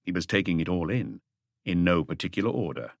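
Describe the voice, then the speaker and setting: Low-voiced man narrating, lively pitch. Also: brisk, vocal fry, no echo, studio quality. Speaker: audiobook narrator. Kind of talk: scripted literary narration of a mystery novel.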